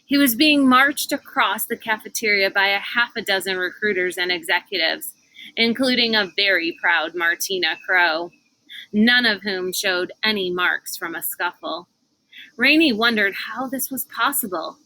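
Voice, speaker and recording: A woman reading a children's novel aloud as narrator, with expressive, deliberate, dramatic phrasing. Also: mid-range voice, low-quality recording.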